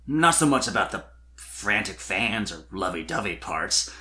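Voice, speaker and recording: A performer doing a low, gentle young dragon. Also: sing-song, a little slow, exaggerated voice. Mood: understanding. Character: young male dragon, gentle, awkward, wistful friend.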